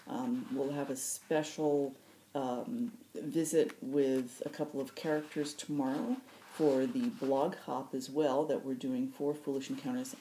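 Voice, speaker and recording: A woman's voice: deep voice, mellow, a little hiss or hum, poor audio. A woman hosting a story reading, narrating fiction excerpts in an audiobook style. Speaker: host reading book excerpts.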